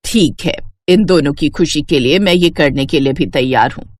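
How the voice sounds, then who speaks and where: A woman's voice: low-pitched, normal speed, voice pushed down. A woman voicing a proud, rich mother of an only son in a cartoon tale, stern but yielding. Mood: resigned.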